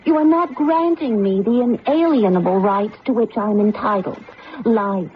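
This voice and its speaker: Casting: actress as a possessive female AI, higher-pitched, imperious and precise, on a noisy vintage radio recording. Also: highs cut off, close to an everyday voice. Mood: indignant. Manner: imperious, deliberate, formal diction. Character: demanding, possessive female artificial intelligence computer. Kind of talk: radio drama acting.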